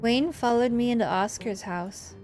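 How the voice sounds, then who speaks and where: A woman's voice: fairly high pitch, normal speed, darkish tone, faint music behind, close to an everyday voice. Woman playing an insistent, earnest young heroine. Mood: earnest, questioning.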